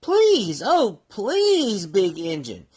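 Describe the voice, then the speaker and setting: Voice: mid-range voice, wildly swooping pitch, drawn-out words, a little mellow, slightly rough, full character voice. A performer voicing little dolls and toys begging for help in a children's storybook, plaintive and imploring. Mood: pleading.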